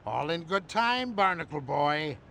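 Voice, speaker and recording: Doddery old superhero geezer, high creaky voice, slow and hammy, cartoon game. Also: male voice, very animated, pushed and bright, a little hiss or hum, exaggerated voice. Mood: befuddled, genial. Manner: pompous heroic declaiming, befuddled. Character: doddering old male superhero, earnest, hammy, easily confused. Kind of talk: cartoon video game acting.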